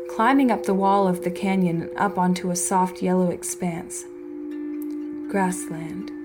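Female audio fiction narrator, medium register, measured delivery with soft character lines, prominent music bed. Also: mid-range voice. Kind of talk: scripted audio story narration. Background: music under the voice.

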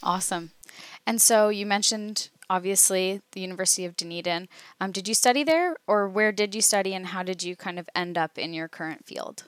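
A woman's voice: mid-range voice, fairly quick, clean tone, no room sound. A woman hosting an interview, upbeat and polished, reading a scripted intro and asking questions. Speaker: interview host.

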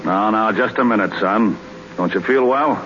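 An actor playing a stern, suspicious baseball club boss with an American accent, sarcastic and accusing, in 1930s radio drama. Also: male voice, fairly low pitch, normal speed, a bit bright, close to an everyday voice. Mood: probing. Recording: muffled, noisy 1930s radio broadcast.

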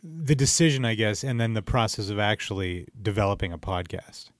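A man's voice: lowish voice, expressive, fairly quick, no echo. A man hosting a podcast in casual, bantering chat, with the odd swear word. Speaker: podcast host.

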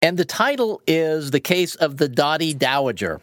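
A woman's voice: deep voice, expressive, moderate pace, no room sound. Friendly host woman, dry close sound. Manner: friendly, laughs easily. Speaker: podcast host. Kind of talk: podcast interview.

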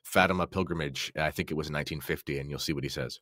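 Guy from canada, low voice, earnest podcast chat about faith, dry close sound. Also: rapid-fire, pushed and bright, dry recording. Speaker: podcast guest. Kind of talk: casual religious discussion.

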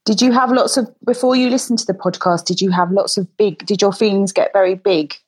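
A woman in her forties hosting a podcast, chatty and encouraging, speaking gently to a child guest. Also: mid-range voice, steady tone, brisk, slightly rough, no room sound. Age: 40s. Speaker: podcast host. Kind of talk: warm casual interview chat.

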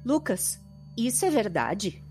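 A woman's voice: on the high side, sing-song, slow, darkish tone, soft background music. An actress with a Brazilian accent playing a frightened, disbelieving young woman in an audio drama, sounding distraught. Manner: distraught, incredulous.

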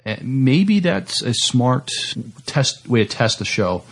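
A man co-hosting a podcast, chatting casually and reading entertainment news in a halting, stammering way. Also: medium pitch, very animated, husky. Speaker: podcast co-host.

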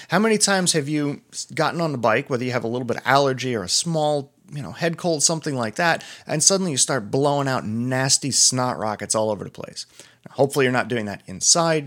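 A man's voice: medium pitch, a bit bright. Chatty, digressive man podcasting solo. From Pennsylvania. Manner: chatty, digressive, self-deprecating. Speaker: podcast host and doctor.

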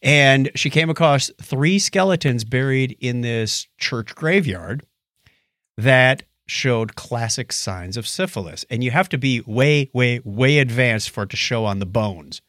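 Male podcast co-host, medium pitch, conversational storyteller who acts out quotes, dry recording. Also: expressive, unhurried, a bit bright, no room sound. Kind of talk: casual chat, recounting stories.

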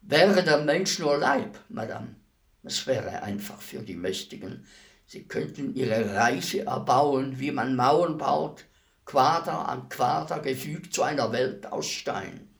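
A calm executioner in a radio drama, despised and brooding over his trade. He speaks very deliberately in a mid-pitched voice, giving each phrase grave, solemn weight as he addresses his victim. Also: vocal fry. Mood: solemn, reflective. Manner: measured, grave, deliberate declamation. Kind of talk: solemn radio play monologue.

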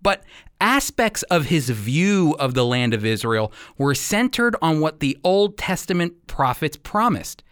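A man's voice: a little high, very animated, measured pace, fairly bright tone, clear, resonant tone, dry recording, clean studio sound. A man hosting a religious radio program, explaining things earnestly in a conversational teaching style. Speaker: radio show host.

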